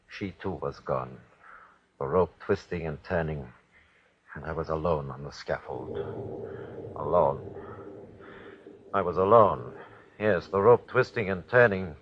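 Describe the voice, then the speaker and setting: A man playing a cruel, haunted Nazi executioner who reports in a husky voice and obsessively repeats himself, in 1940s radio horror style. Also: sits low, a little slow, pauses often, slight background noise. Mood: haunted, shaken. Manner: dramatic, haunted first-person reporting with obsessive repetition. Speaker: actor. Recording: dull, lo-fi old radio recording.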